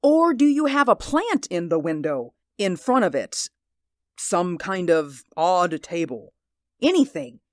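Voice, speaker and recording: An actor in a 1940s radio drama playing a haunted, sleepless man who pleads in an intense, hushed voice. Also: high voice, very animated, unhurried, no room sound, clean studio sound. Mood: pleading.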